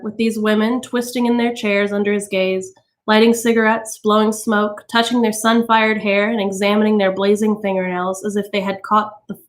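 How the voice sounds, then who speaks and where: A woman reading a novel aloud as a storytime narrator, with expressive delivery. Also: medium pitch. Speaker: storytime reader.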